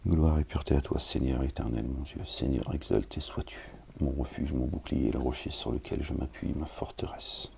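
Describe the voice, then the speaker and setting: A man who talks casually with wry, grumbling asides to his listeners, then reads scripture slowly and solemnly. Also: extremely low pitch, steady tone, gravelly, a little mellow, breathy edge. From France. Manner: slow, measured recitation with Arabic invocations. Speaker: preacher reading scripture.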